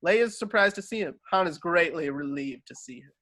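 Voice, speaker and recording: A man's voice: on the high side, lively intonation, brisk, bright, forceful tone. A man reading screenplay stage directions as narrator of a table read.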